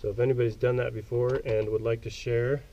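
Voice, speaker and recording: An American man in his thirties with a soft, mellow, mid-pitched voice. He explains things casually to the camera, pausing to think aloud as he works. The recording is made outdoors, with faint ambient noise behind him. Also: level tone, lo-fi sound. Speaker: outdoor vlogger, presenter. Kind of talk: casual explanatory talk to camera while working. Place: outdoors in a small desert rock cave.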